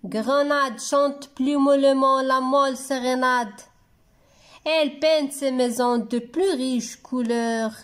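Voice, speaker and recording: Female poetry reader for a school lesson: high voice, extremely slow, deliberate phrasing. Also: fairly even intonation. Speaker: teacher reading a poem for pupils. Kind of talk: slow poetry recitation for dictation and reading lesson.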